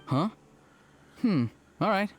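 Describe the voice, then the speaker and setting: Awkward gentle young tribesman in a game, deadpan n sincere, kinda high voice, soft music behind. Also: takes it slowly, full character voice. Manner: earnest, deadpan, matter-of-fact. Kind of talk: video game voice acting. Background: faint music.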